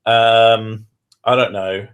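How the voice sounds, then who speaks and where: A man's voice: fairly low pitch, level tone, extremely slow, fairly bright tone. A man chatting casually with his partner, joking and swearing a lot and laughing often. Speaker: streamer.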